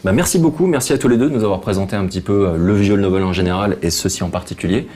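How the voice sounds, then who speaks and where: Guy hosting a chat show, lowish voice, talks quick, casual interviewer. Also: a little mellow, slight background noise. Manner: interjects short acknowledgements and questions. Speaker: TV show host interviewing guests.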